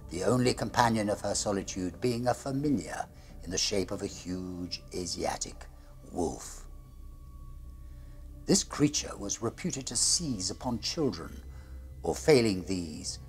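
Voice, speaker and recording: A middle-aged man reading a gothic horror tale as a diary, in a grave, deliberate manner that sinks to a hush at the ends of phrases. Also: low-pitched. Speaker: storyteller reading a classic ghost story aloud. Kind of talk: dramatic gothic horror narration, read as a diary. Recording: music under the voice. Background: music.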